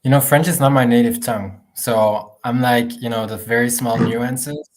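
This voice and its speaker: A man whose first language is Dutch, a guest speaking relaxed and conversational. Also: medium pitch, moderate pace.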